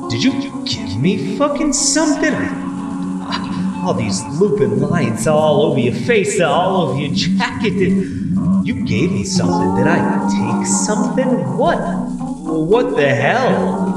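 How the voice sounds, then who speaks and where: A man acting a drugged, panicked boyfriend in an audio drama, stammering, repeating himself and swearing as he hallucinates. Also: fairly high pitch. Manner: stammering, fragmented, repetitive, swearing. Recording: roomy and distant, music throughout.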